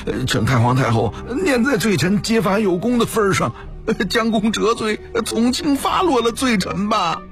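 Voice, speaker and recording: A man's voice: sits high, big pitch swings, normal speed, fairly bright tone, soft background music, voice pushed up, heavily acted. A man playing a fawning, panicky palace official in an audio story, wheedling and pleading. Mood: pleading, frantic.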